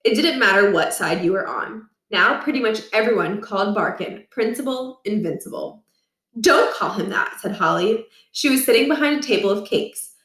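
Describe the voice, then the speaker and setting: A woman reading a children's storybook aloud with expressive, deliberate delivery in a roomy space. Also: mid-range voice, distant mic. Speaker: read-aloud reader. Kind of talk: scripted storybook narration. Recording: roomy, echoing room.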